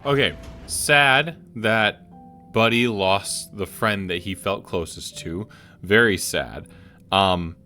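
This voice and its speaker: A man's voice: medium pitch, pushed and bright. A man commenting casually on a game he is playing, rambling with many restarts. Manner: rambling, full of restarts and filler. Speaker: let's play streamer.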